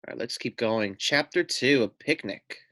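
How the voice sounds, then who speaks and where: A man's voice: medium pitch, expressive, moderate pace, bright, forceful tone, no room sound. A man reading a children's story aloud like a teacher, expressively, with asides to his listeners. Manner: addresses listeners with questions and asides.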